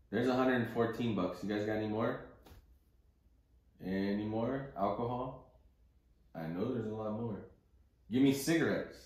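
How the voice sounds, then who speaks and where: A man's voice: on the low side, a little mellow. A man hosting an investing conference call, giving informal, opinionated market commentary off the cuff in blunt, coarse everyday language. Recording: very roomy, echoing sound.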